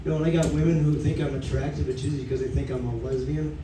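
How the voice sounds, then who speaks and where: A man in his sixties doing a stand-up comedy set, rambling and halting, with lots of fillers. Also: mid-range voice, flat delivery, recorded from a distance. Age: 60s. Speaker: stand-up comic. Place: comedy club stage, audience laughing. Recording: echoey club room recorded from afar with noise.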